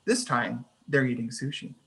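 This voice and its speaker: Medium-pitched narrator reading screenplay directions. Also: lively intonation, moderate pace, gravelly, a bit bright, husky. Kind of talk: scripted narration at a screenplay table read.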